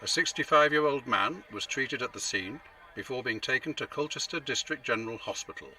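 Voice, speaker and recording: Guarded police spokesman, very bright forceful voice, formal statement, distant mic, radio drama. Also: mid-range voice, lively intonation, a little fast.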